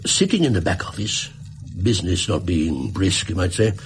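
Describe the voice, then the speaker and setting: An actor playing a fussy, gullible middle-aged pawnbroker in a radio drama, rambling and deferential. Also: male voice, low voice, big pitch swings, moderate pace. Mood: eager storytelling. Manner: rambling, hesitant, chuckling, deferential. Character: fussy, garrulous, gullible widowed London pawnbroker. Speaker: client telling his story. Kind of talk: British radio drama acting.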